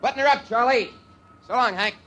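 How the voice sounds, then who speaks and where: A man's voice: extremely high pitch, a little fast, pushed and bright. A man acting in a 1950s radio drama, playing a cocky, wisecracking young test pilot with a breezy manner. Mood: breezy, impatient. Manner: breezy, joking. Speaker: radio actor. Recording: faintly noisy old radio broadcast.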